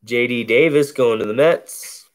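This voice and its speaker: A man with a deep, bassy voice calmly calls out each baseball card he opens at a table indoors, in a relaxed running commentary. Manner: slow, laid-back play-by-play of each card. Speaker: card break host. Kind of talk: casual card-opening commentary. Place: indoors at a table covered in cards.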